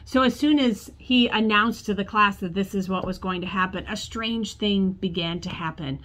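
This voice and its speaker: A woman pastor in her forties preaches from her office desk, telling a story. Her voice sits at a middle pitch, and she talks to the camera as if to a congregation. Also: moderate pace, fairly bright tone, rough recording. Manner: storytelling, acts out quoted voices, gestures with hands. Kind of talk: sermon. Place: church office with bookshelves, indoors.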